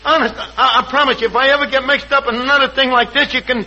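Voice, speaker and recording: A man's voice: very high voice, fairly even intonation, quick pace, sharp tone, heavily acted. A man playing a hapless tavern manager and would-be announcer in a 1940s radio comedy, mock-formal and wheedling. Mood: pleading. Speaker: host. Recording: muffled, very noisy vintage radio broadcast.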